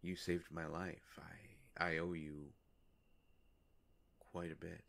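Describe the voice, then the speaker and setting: A man playing a courteous, world-weary immortal vampire in an intimate roleplay monologue, musing politely and haltingly with long pauses. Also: sits low, flat delivery, creaky, a bit bright, exaggerated voice. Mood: gently reassuring.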